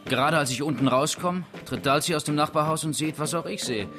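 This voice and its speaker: An actor playing a defensive, street-tough murder suspect, plain-spoken and defiant, in a radio crime play. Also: male voice, on the high side, fairly quick, over music, natural voice. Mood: wary.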